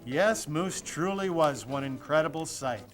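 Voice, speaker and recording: A man's voice: on the high side, expressive, unhurried. A man reading a rhyming children's story aloud, with music playing behind him. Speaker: storybook reader.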